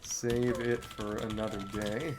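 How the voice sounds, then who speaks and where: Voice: medium pitch, little pitch movement, unhurried, background noise. A game streamer chatting casually over gameplay, thinking aloud in a wry way. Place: indoors at a computer.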